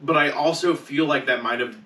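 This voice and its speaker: A man's voice: on the high side, brisk, pushed and bright, slight background noise. A man on a podcast panel, bantering casually with slangy, profane talk. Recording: roomy echo, distant mic.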